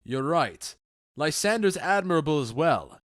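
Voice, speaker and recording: A man playing a commanding, measured duke in an audio drama, speaking with stately, deliberate authority. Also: a little high, big pitch swings, dry recording, clean studio sound. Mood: stern, advising. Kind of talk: modernized Shakespeare audio drama acting.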